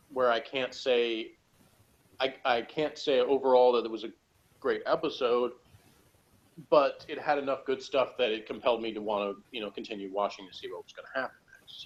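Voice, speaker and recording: Lowish male reviewer on dull audio. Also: slightly rough. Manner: halting, informal, noncommittal. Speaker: reviewer, discussion guest. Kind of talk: casual review chat.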